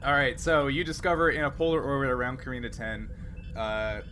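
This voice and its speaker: A man's voice: medium pitch, brisk, bright, forceful tone, a little hiss or hum. A man acting as game master, explaining and narrating in an informal, casual way.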